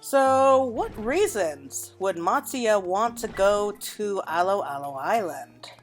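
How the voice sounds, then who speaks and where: A woman's voice: mid-range voice, lively intonation, slow. A woman giving casual let's-play commentary, chatting and musing aloud to her viewers as the host. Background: game music.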